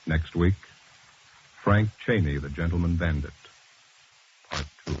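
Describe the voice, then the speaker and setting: An American man announces solemnly in a very deep voice. It is scripted old-time radio, dull in sound, with music behind him. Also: measured pace.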